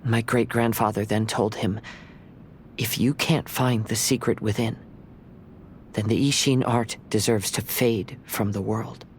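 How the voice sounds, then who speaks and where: A man playing a gentle young wandering samurai in a video game, speaking in measured, formal, reflective phrases. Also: lowish voice, steady tone, slight background noise, natural voice. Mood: calm, reflective. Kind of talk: video game story dialogue.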